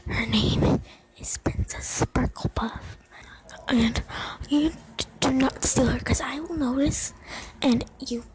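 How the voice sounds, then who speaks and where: A woman playing a young, possessive, awkwardly menacing channel owner in a parody introduction, with a breathy, half-whispered voice. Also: high-pitched, slow, low-quality recording. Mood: possessive, threatening.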